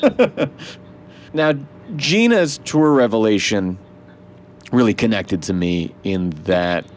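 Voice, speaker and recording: A man's voice: on the low side, animated, slow, slight background noise. Host, male musician, casual and profane, laughs often. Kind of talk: casual interview chat.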